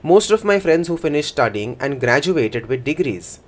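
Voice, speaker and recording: A man voicing a first-person interpretation for a signing speaker in a plain, measured way. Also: fairly high pitch, very animated.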